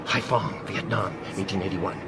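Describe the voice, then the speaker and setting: An actor playing a terrified, obsessed man besieged by a living wind in a radio drama, halting and overwrought. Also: low-pitched, lightly acted. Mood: grim, obsessive. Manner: overwrought, halting, breaking into manic laughter and cries. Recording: noisy old radio drama broadcast.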